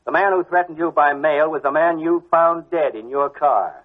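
An actor playing a suspicious, dryly commanding police officer who questions people deliberately in a 1940s radio drama. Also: male voice, a little high, close to an everyday voice. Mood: probing. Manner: deliberate, sardonic interrogator. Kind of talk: 1940s radio detective acting. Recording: muffled old radio drama recording.

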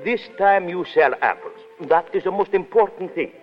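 An actor playing a jovial, money-grubbing cabaret owner in a 1930s radio drama, oily and hesitant, with foreign-sounding phrasing. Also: male voice, sits high, moderate pace, lightly acted. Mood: bossy, greedy. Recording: dull 1930s radio broadcast with music.

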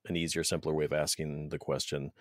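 A man hosts a podcast interview in a very low voice. He asks questions casually and reworks them as he talks. The recording is dry, with no room sound. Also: level tone, fast talker, dry recording. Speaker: podcast host.

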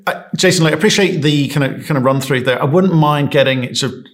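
A man's voice: fairly high pitch, fast. A man hosting an investment interview podcast, asking probing questions in a chatty way.

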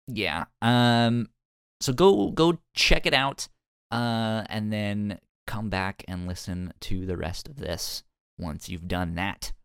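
A man with a low voice, recorded dry and close, talks casually as a podcast co-host. He stammers through his starts, repeating words before the sentence moves on. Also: takes it slowly, fairly bright tone, clear, resonant tone, no room sound. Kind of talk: casual review discussion.

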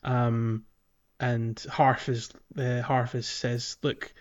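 A man's voice: medium pitch, little pitch movement, drawn-out words, dull audio. A man hosting a podcast, discussing a video game casually and often chuckling mid-sentence. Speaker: podcast host.

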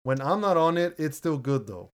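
A man with a deep voice co-hosts a relaxed podcast from home. He reads listener reviews aloud in an easygoing way, often laughing and restarting his words. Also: normal speed. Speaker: podcast co-host. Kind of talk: casual chat reading listener reviews. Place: home room, recording remotely.

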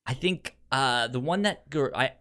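A podcast co-host in relaxed film conversation, speaking at a slow pace. The speech stammers often, with words and first syllables repeated before the thought moves on. The style is casual and unscripted. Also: medium pitch. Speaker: podcast co-host reviewing films.

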